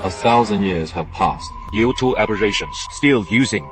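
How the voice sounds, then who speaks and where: A man's voice: fairly low pitch, expressive, moderate pace, close to an everyday voice. A man voicing a cocky, taunting ancient warrior reborn, in an anime dub. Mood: amused, scornful. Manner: taunting, self-assured. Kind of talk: English dub of anime motion comic. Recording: loud background music.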